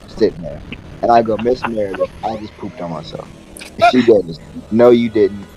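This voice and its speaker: A young man telling a casual story to friends in an animated, slangy, profane way, acting out the dialogue. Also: lowish voice, moderate pace, highs cut off. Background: video game music.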